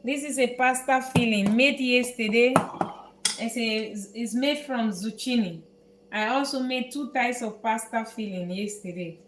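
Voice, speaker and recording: Slow-talking Dutch woman in echoey kitchen. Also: on the high side, heavy background noise. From Netherlands. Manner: unhurried, halting, repeats words while working. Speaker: home cook host. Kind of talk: live cooking tutorial. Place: home kitchen.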